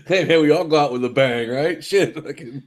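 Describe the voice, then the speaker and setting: A man's voice: a little high, fairly quick. A man chatting casually in a live stream group call, rambling and joking and swearing freely.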